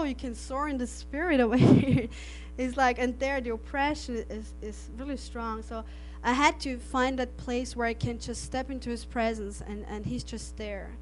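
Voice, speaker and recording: A woman's voice: on the high side, slight background noise, low-quality recording. A middle-aged woman from Austria, a native German speaker, giving an earnest missionary testimony with frequent laughs and restarted phrases. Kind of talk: testimony to an audience.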